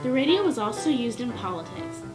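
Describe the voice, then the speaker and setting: Higher-voiced woman narrating over music. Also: sing-song, fairly quick. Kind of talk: scripted educational narration.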